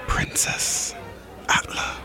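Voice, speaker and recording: An actor playing an elegant, flattering courtly suitor in a fantasy audio drama, with a suave, formal, flirtatious manner. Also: male voice, drawn-out words, over music, crowd noise.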